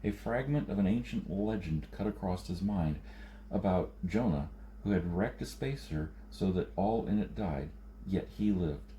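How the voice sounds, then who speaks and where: A man's voice: low voice, animated, measured pace, dark tone, a little hiss or hum, rough recording. A man narrating a science fiction novel as an audiobook reader.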